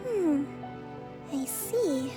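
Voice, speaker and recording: A woman voicing a composed, thoughtful young female leader in a video game, with soft, measured, formal speech. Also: high voice, expressive, full character voice. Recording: music behind the voice.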